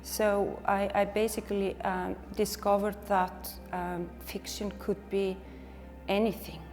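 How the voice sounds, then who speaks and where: Gravelly flat Icelandic-accented woman. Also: medium pitch, takes it slowly, in a large hall, faint music behind. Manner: restarts, repeats words while thinking. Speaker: novelist, interview guest. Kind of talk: reflective conversational interview.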